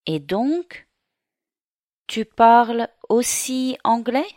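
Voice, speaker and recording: A woman reading the part of a young Englishwoman heading to work as an au pair, in a slow learner's dialogue. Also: on the high side, animated, warm tone, no echo, studio quality, pitched above the performer's own voice. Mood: curious. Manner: slow, carefully articulated reading.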